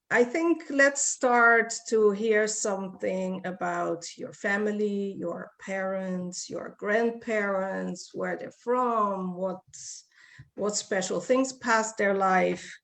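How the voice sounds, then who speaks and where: Slow, halting woman interview host. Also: mid-range voice, fairly even intonation, creaky. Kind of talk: unscripted introduction and questioning.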